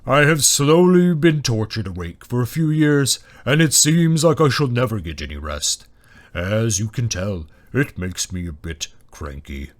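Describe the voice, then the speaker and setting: A man voicing a huge old male dragon, cranky and wry, with a deep, booming, slow delivery for a fantasy story. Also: very animated. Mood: weary, cranky.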